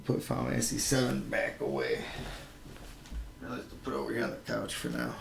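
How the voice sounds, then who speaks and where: A man with a raspy voice, chatting casually and rambling nostalgically while he sets up his console to stream from his living room. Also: medium pitch, big pitch swings, brisk, slight background noise. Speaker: streamer playing a game. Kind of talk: casual live-stream chat. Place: home living room with a couch.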